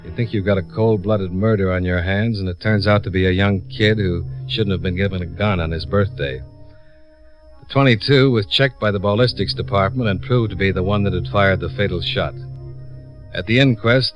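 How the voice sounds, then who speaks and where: Man playing a hard-boiled american radio detective, lowish voice, dry and clipped, music behind him. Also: moderate pace, lo-fi sound, close to an everyday voice. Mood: wry, somber. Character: cool, shrewd, hard-boiled male insurance investigator. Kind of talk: 1950s radio detective acting and first-person narration.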